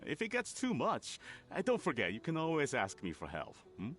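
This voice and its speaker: A man's voice: medium pitch, huge pitch swings, moderate pace, fairly bright tone. An actor playing an easygoing, caring village family man in a video game. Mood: friendly, concerned. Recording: music behind the voice.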